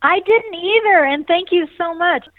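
A woman musician with a nasal, fairly high voice, chatting casually as an interview guest. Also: moderate pace, no echo. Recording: tinny dry phone line.

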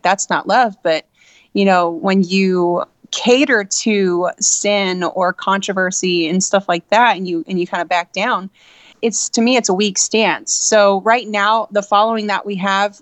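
A woman singer with a low voice, giving earnest interview answers full of "you know". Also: no echo.

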